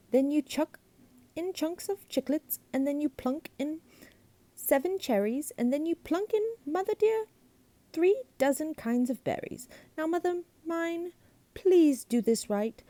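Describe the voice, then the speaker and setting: A woman's voice: high voice, lively intonation, slow pace, warm tone, dry recording, voice pushed up. A woman voicing a greenish male creature from a storybook, a pushy chatterbox who talks on and on in a bossy singsong. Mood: jabbering.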